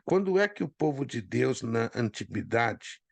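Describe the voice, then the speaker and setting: A man, hoarse from a cold, teaching an online Bible class and calling on students by name. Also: mid-range voice, big pitch swings, a little slow, no room sound. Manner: repeats key points for emphasis.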